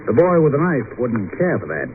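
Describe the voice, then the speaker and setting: An actor playing a businesslike, matter-of-fact police lieutenant in an old-time radio drama. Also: male voice, mid-range voice, very animated, fairly quick, close to an everyday voice. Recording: tinny, noisy old radio recording.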